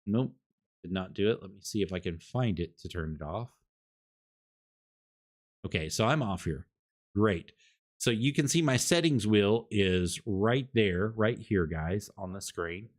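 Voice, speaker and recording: A man streaming a casual microphone review from a home setup, talking loudly and rambling with repeated words. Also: on the low side, measured pace, pauses often. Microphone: desktop condenser microphone.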